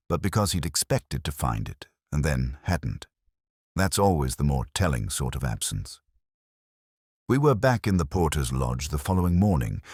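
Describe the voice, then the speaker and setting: A man voicing a pensive, wry police inspector who narrates a mystery story in a measured, literary, deliberate way. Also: a bass voice, a little mellow, husky, no echo, clean studio sound, full character voice. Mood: wry, observant. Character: pensive, wry older English male police inspector narrating his case. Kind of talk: scripted detective story narration.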